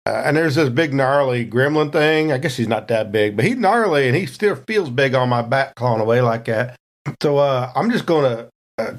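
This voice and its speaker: Folksy man playing a tabletop game. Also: mid-range voice. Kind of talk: casual in-game narration of his character's actions.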